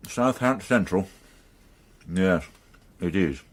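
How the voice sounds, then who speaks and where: Gloomy inspector, low husky voice, dryly sardonic grumbler, radio drama. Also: male voice, expressive, slow, exaggerated voice. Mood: distracted, subdued. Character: gloomy, dry-witted police inspector, married man. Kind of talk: naturalistic British radio drama acting.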